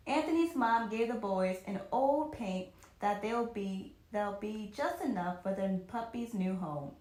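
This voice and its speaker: Echoey room, woman, higher-pitched voice, expressive children's storytelling, very varied intonation, occasional stumbles. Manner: animated, with occasional stumbles and rereadings. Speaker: storyteller reading a children's book aloud.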